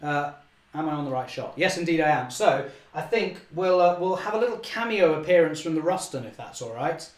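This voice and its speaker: A young man, slightly hoarse, chatting cheerfully through a live model railway session and correcting himself often. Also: fairly high pitch, expressive, a little fast, roomy sound. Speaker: model railway channel host. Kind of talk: live-stream running commentary.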